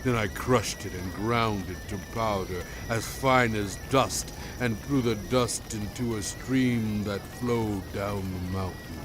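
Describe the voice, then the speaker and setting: A man's voice: lowish voice, over music. A male actor playing a stern prophet addressing his people in a dramatized scripture reading, with grave, emphatic oratory. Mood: grave reproach. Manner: grave, deliberate, emphatic phrasing.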